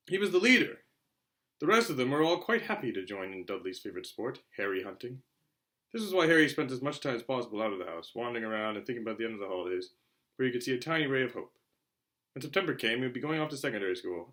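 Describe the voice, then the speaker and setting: Man doing audiobook narration, expressive storytelling, animated and bright. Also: mid-range voice. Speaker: audiobook reader.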